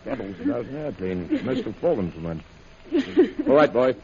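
An actor playing a brisk, concerned jungle doctor in an old radio adventure, giving urgent, exclamatory orders in a gravelly voice. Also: male voice, medium pitch, huge pitch swings, warm tone, muffled recording, slight background noise.

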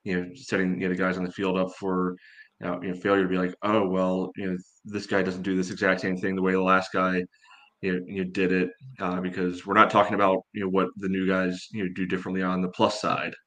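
A man giving casual sports analysis in a halting, measured way, padding his sentences with filler phrases. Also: low voice, completely flat delivery, fairly bright tone. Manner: halting, measured, peppered with "you know". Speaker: sports podcast panelist.

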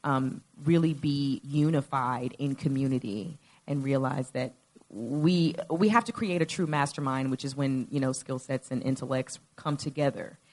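A woman on a panel speaking thoughtfully, often pausing to search for words. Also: extremely low pitch, a little mellow. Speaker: actor on a panel. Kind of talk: reflective panel answer.

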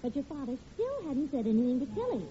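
A woman's voice: high-pitched, very animated, fast talker. An actress playing a wry, patient middle-aged American mother in 1940s radio comedy style, telling a story conversationally. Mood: wry reminiscing. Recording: muffled, faintly noisy old radio recording.

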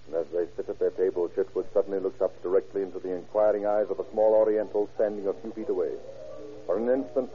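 A man narrating a crime story in a measured, grave, old-time radio drama style. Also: fairly low pitch. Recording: tinny, noisy vintage radio recording.

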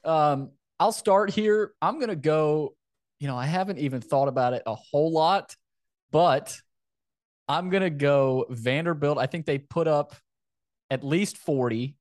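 A man's voice: fairly high pitch, a little slow, darkish tone, dry recording. Man chatting football, close dry sound. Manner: repeats phrases, conversational and loose. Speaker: sports podcast host. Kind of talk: casual football chat.

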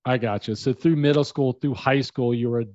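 A man's voice: medium pitch, steady tone, normal speed, darkish tone, no echo. A male host who asks long, meandering questions padded with ums and uhs. His voice comes through dry, close and muffled on a video call. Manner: rambling questions full of fillers. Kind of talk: casual interview over a video call. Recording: dull lo-fi video call audio.

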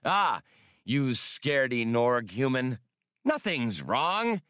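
A man's voice: mid-range voice, big pitch swings, slow pace, fairly bright tone, clear, resonant tone, no echo, studio quality, voice pushed up. A man voicing a tall alien police inspector in an audiobook, officious and pompous with forced heartiness. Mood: forced jocular. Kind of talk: audiobook character acting.

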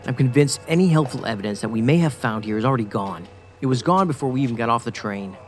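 A man's voice: mid-range voice, animated, quick pace, lightly acted. A man playing a stubborn, sardonic federal agent in a science fiction audio drama, speaking dryly and insistently. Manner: dry, insistent, sarcastic. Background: music.

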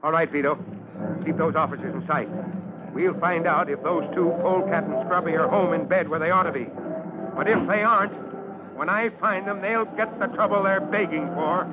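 Authoritative, fairly high-voiced fire chief, a man acting. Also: moderate pace, natural voice. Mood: grimly determined. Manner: terse, authoritative. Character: authoritative, determined fire chief, terse and unflappable. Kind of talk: old-time radio drama acting. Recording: muffled, faintly noisy vintage radio recording.

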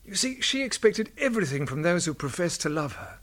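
A man's voice: high voice, sing-song, fairly quick, a bit bright. An actor playing a guilt-ridden middle-aged husband and father with a British accent, genteel and placating, in a supernatural screen drama. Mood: guilty, subdued.

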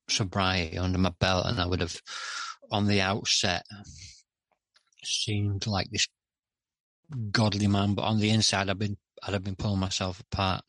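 A middle-aged man with a lowish voice talks openly as a guest on a podcast. He hesitates, fills gaps with "um" and restarts his sentences. The recording is dry and close. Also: fairly bright tone, dry recording, rough recording. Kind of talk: candid interview talk.

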